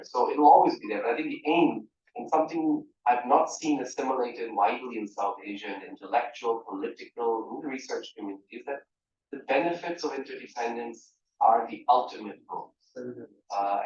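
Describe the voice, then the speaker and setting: A man from Portugal makes his case on a discussion panel, animated and restless, stopping to restart phrases and checking in with "right?". He sounds distant from the mic, and the echo of a large room rings around him. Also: medium pitch. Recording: roomy, distant, dull hall mic.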